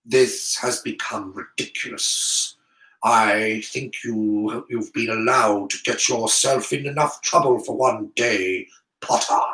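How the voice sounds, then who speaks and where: A man voicing a cold, sneering, angry schoolteacher in an audiobook story, slow and full of contempt. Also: mid-range voice, fairly bright tone, voice pushed down. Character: sneering, cold, angry adult male potions teacher. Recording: roomy echo.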